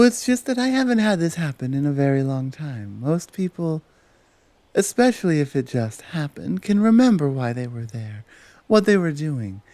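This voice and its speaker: A woman acting a dominant, dutiful valkyrie in an ASMR roleplay, speaking in a halting, matter-of-fact, gently commanding way. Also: deep voice, dry recording, full character voice. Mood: firm, patient. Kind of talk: ASMR roleplay acting, one-sided dialogue.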